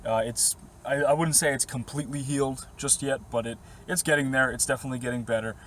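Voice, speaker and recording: A young man from the Boston area, slightly hoarse and losing his voice, chatting casually and rambling through viewer questions with fillers and short laughs inside his camper van. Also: medium pitch, fairly even intonation, quick pace, a bit bright, slight background noise. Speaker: vlogger answering viewer questions. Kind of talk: casual chat to camera.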